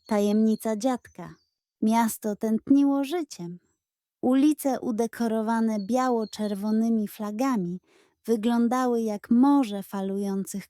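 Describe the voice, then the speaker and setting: A woman narrating a scripted children's bedtime story in a gentle, expressive manner. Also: fairly high pitch, no room sound, studio quality.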